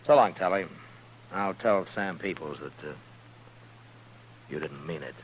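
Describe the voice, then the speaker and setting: An actor playing a weary, stern frontier marshal in a 1950s radio western, speaking in a laconic, dry way. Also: male voice, low voice, measured pace. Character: weary, stern male US marshal in the Old West. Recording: muffled vintage radio recording, faint noise.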